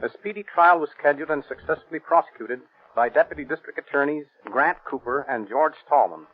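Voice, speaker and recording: Male narrator: slightly high, rough-edged voice, formal factual reading, tinny 1930s radio recording. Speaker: narrator, police captain.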